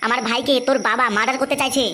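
A woman's voice: sits high, level tone, very fast, sharp tone, a little hiss or hum. A woman voicing a crude, menacing kidnapper out for revenge, hurling accusations and threats in a comic cartoon. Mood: threatening. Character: vengeful, foul-mouthed kidnapper threatening a captive.

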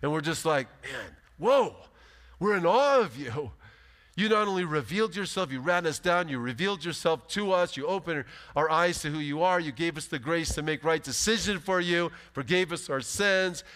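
A pastor in his fifties giving an animated, emphatic sermon from a church stage. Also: on the high side, normal speed. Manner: animated, emphatic preaching with big gestures. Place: large church stage, indoors. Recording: long hall reverb.

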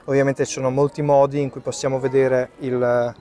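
A man in his thirties, a chef giving a live cooking demonstration under a tent in a park, explaining each step as he works, with fillers and pauses, recorded by a camera at a distance. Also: mid-range voice, flat delivery, mellow. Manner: explanatory, thinking aloud with fillers while working. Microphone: far camera.

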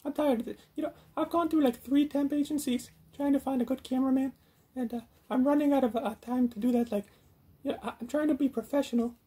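A bossy, easily exasperated lady chef puppet, acted out by a man hosts a comic cooking show. The voice is high yet soft-edged and dark in tone. She delivers everything with theatrical indignation. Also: steady tone, quick pace, breathy edge. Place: home garage.

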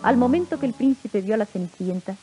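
A high-pitched woman tells a fairy tale as a storybook narrator. Orchestral music plays prominently behind her on a 1950s record. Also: expressive, fast talker, dull audio.

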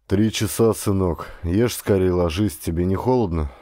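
A man voicing a caring, gentle, cheerful father and polar scientist in an audiobook. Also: deep voice, normal speed, no room sound, clean studio sound, lightly acted. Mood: gentle concern. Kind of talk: audiobook character dialogue.

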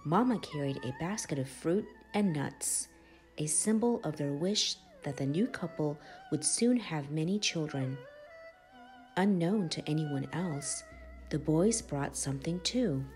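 A woman with a lowish voice reads a children's storybook aloud. Her delivery is animated and swings widely in pitch, taken at an unhurried, measured pace. Music plays behind her. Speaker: children's book reader. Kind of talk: expressive storybook read-aloud. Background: background music.

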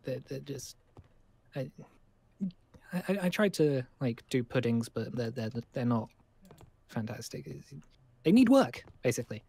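A man's voice: medium pitch, very animated, vocal fry, dark tone, husky, no room sound, full character voice. Guy doing a jittery apologetic young survivor in a game roleplay, trips over his words, rambles on. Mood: apologetic, flustered. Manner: stammering, halting, over-explaining. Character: nervous, rambling, apologetic young male survivor living at school.

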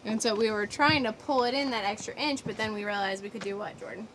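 A woman's voice: mid-range voice, quick pace, fairly bright tone, rough recording. An American woman in her thirties chatting casually as co-host of a vlog. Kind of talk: casual chat. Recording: ambient noise behind voice.

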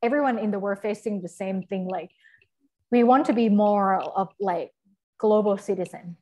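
A woman's voice: mid-range voice, darkish tone. A woman in her thirties with a Thai accent, explaining conversationally on a video call through an earphone mic, often searching for words. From Thailand. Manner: halting, searching for words, frequent "like" and "you know". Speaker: guest speaker. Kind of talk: conversational explanation in an online session. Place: small indoor room, video call. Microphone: wired earphone mic on a video call.

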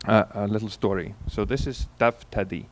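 A man in his forties from Iceland with an Icelandic accent, giving a relaxed conference keynote into a handheld mic, telling stories with many fillers and restarts. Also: fairly low pitch, normal speed. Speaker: keynote speaker. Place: large conference hall on stage. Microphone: handheld microphone.

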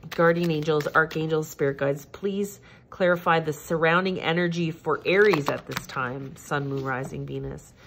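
A woman with a stuffed-up, nasal voice reading tarot cards at home in a gentle, repetitive, reassuring way. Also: sits low, normal speed, vocal fry, few pauses, a little hiss or hum. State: congested, stuffed-up nose. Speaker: tarot reader. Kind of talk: casual tarot reading monologue. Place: home, at a table.

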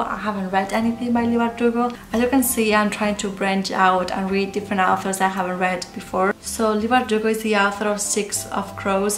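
A young woman from Spain with a Spanish accent, chatting casually about books on camera in a small room at home. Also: mid-range voice. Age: young adult. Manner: chatty, gestures a lot with her hands. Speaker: book vlogger. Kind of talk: casual chat. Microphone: camera or laptop mic facing her. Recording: roomy echo with music underneath.

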